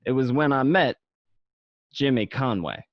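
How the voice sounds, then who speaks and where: A man's voice: medium pitch, lively intonation, measured pace, dry recording. A man playing a nostalgic gangster who narrates his youth among mobsters in an amateur radio play. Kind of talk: first-person dramatic narration. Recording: dry, slightly dull home recording.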